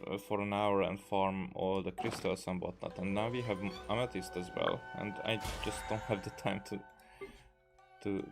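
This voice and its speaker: Low-voiced man, halting game commentary over music. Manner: hesitant, halting, thinking aloud. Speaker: gaming video creator. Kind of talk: casual gameplay commentary. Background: video game music.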